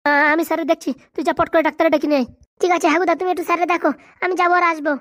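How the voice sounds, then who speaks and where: Woman as a high, fretful cartoon sidekick. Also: steady tone, rapid-fire, a bit bright, no room sound. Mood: worried. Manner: deferential, fretful. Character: eager, fussing, devoted male helper of a schoolteacher. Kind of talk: comic cartoon voice acting.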